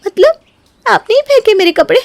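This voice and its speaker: A woman voicing an indignant, wounded young wife in a melodramatic family story, shrill, plaintive and emphatic. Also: lively intonation, moderate pace, gravelly, exaggerated voice. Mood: shocked, accusing. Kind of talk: melodramatic Hindi story dialogue.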